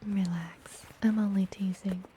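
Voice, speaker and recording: Slow whispering witch healer, acted by a woman. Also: medium pitch, steady tone, slight background noise, natural voice. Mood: mysterious, teasing. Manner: soothing, unhurried, softly whispered. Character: friendly, mysterious woodland witch healer, gently teasing. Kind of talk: whispered ASMR fantasy roleplay.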